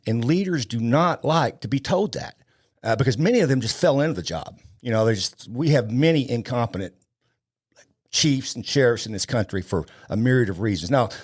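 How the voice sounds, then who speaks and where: A man in his fifties talks with conviction as a podcast guest. He is blunt and emphatic, hammering points home by repeating his phrases, at an ordinary male pitch. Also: darkish tone. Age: 50s. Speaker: podcast guest, law enforcement trainer. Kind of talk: impassioned interview talk.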